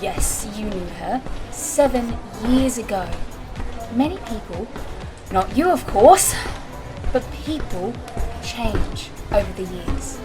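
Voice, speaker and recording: Woman playing a slow, sarcastic, scolding female companion. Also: a little high, expressive, music in the background, rough recording. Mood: sceptical. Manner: sarcastic, pointed. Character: sceptical, scolding woman, blunt travelling companion. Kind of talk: audio drama acting.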